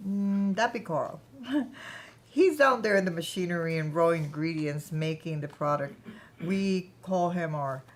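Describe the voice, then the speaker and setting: A woman's voice: fairly low pitch, measured pace, lightly acted. A woman playing a proud, ambitious, chatty founder of her own company in a staged play reading. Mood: proud, reminiscing.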